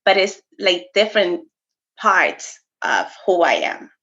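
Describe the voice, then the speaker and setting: Animated woman chatting, slightly muffled. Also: lowish voice, slow pace. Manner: animated, laughs often, code-switches briefly. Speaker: podcast co-host. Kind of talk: casual conversation.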